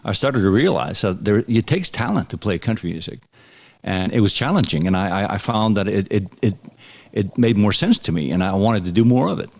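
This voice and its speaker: A low-voiced man from Iceland answers a host's questions. He talks in a relaxed, unhurried way, stalls on small words and repeats himself as he gathers his thoughts. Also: vocal fry. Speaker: country singer guest. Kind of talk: interview answers.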